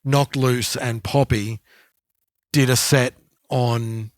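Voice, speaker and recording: A man co-hosting a podcast, talking thoughtfully in a halting way and often repeating words. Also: mid-range voice, steady tone, no echo, studio quality. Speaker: podcast co-host. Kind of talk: casual podcast chat.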